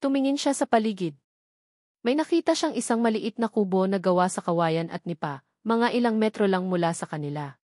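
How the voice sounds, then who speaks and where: A woman's voice: medium pitch, expressive, brisk, darkish tone, dry recording, clean studio sound. A woman narrating a scripted romance story as an audiobook storyteller.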